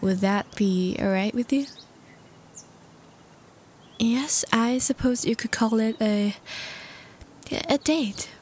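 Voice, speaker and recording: A woman's voice: a little high, slow, long pauses, darkish tone, a little hiss or hum. A woman playing a courteous, smitten old-world vampire, speaking formally and intimately in a roleplay audio drama. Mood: charmed, courteous. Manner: formal, old-fashioned diction, tender and breathy.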